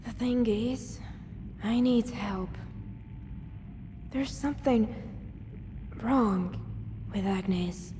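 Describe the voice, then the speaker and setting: Woman acting wary young survivor gal for a game, slow n dry, long reverb, noisy background. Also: a little high, warm tone. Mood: earnest, worried.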